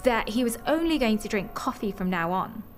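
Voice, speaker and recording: An actress playing a breezy, unbothered hairdresser in a radio soap, blunt and teasing. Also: female voice, on the high side, animated, brisk, soft background music, natural voice. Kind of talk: radio soap opera acting.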